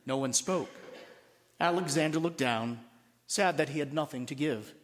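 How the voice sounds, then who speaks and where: A priest, a man, reading a story aloud with expressive, deliberate storybook narration. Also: a little high. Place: large church at a Mass. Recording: long reverberant hall.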